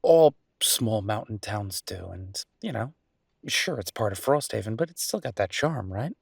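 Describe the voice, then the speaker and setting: A man playing an earnest, down-to-earth chef boyfriend in an audio roleplay, talking casually and a little hesitantly in a husky voice. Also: lowish voice, lively intonation, measured pace, no echo, studio quality, full character voice. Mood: hopeful, musing. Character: enthusiastic down-to-earth chef boyfriend opening a mountain restaurant. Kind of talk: audio roleplay acting, casual one-sided conversation.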